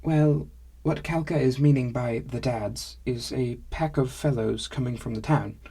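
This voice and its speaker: A woman's voice: very deep voice, a little slow, dark tone, husky, heavily acted. A man doing a chirpy, stilted female dwarf. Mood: explaining. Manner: stilted phrasing with much "is being". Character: female dwarf stablehand, cheerful, loud, odd formal speech.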